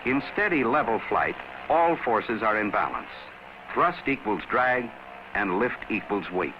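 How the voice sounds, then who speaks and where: A man narrates a vintage training film with lively, widely moving intonation. His voice is slightly low and a touch husky, and he explains things in a measured, scripted, instructive way. The soundtrack is dull and aged. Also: background noise. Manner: measured, instructive, addressing the viewer. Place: recorded voice-over.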